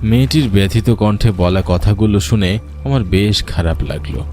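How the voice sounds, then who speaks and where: A man's voice: on the low side, normal speed, mellow, faint music behind. A man narrating a scripted first-person horror story in a dramatic, suspenseful way.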